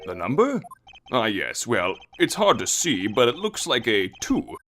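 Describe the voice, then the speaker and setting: Man playing a pompous old judge, animated delivery. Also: medium pitch, unhurried, slight background noise, full character voice. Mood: puzzled. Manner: formal, easily baffled. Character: elderly male judge, pompous, slow to catch on. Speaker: voice actor in a fan dub. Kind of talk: theatrical courtroom game dialogue.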